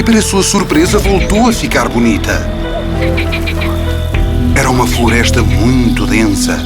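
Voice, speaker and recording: A man narrates a children's fairy tale in a European Portuguese accent. His voice is mid-pitched and rises and falls with lively movement. Loud music plays underneath throughout.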